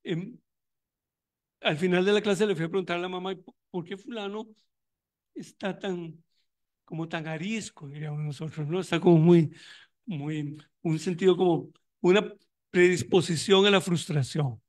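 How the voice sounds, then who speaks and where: A high-voiced man speaking at an academic conference. He speaks reflectively, restarts phrases often and searches for words aloud as he goes. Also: fairly even intonation, moderate pace. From Costa Rica. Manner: thoughtful, many restarts and fillers, reflective. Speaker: panelist on migration in education; university educator running tutoring programs.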